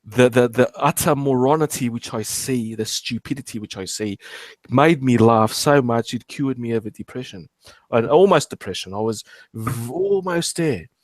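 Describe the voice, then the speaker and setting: A man's voice: mid-range voice, moderate pace, no echo. Australian man, late forties, stammering and blunt, dry close mic. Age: 40s. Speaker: host. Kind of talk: casual chat.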